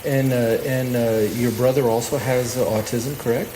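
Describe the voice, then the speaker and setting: A man hosting a live radio show on stage, introducing and interviewing guests with halting, repetitive phrasing. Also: medium pitch, steady tone, background noise. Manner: halting, hesitant phrasing with repeated words.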